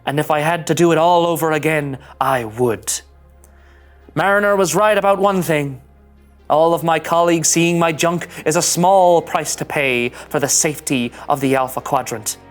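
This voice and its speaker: A woman's voice: sits low, moderate pace, soft background music, close to an everyday voice. A woman playing an earnest, easily flustered young male starship ensign in a melodramatic sci-fi script reading. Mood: solemn, resolved. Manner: melodramatic, indignant monologuing. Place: studio.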